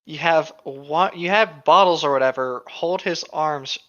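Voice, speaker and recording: A man co-hosting a podcast, chatting casually and reading stories out loud. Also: a little high, unhurried. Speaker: podcast co-host. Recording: slightly dull, lo-fi microphone sound.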